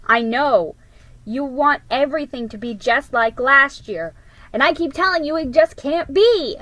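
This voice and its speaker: A woman's voice: sits high, unhurried, a bit bright, pitched above the performer's own voice, poor audio. A woman voicing a haughty, fussy older girl in a storybook, scornful and prim in manner. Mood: dismissive.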